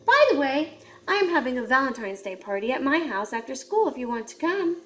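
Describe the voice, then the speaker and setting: A woman's voice: sits high, very animated, fairly quick. A woman voicing a cheerful, encouraging young female cat friend in a storybook, with an insistent manner. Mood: excited.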